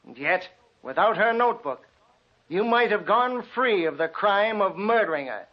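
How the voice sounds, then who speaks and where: A relentless detective confronts a killer in a vintage radio drama. The voice is high for a man, stern and deliberately dramatic, on a muffled old recording. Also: expressive, a little hiss or hum. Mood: sternly accusing. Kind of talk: 1940s radio drama acting.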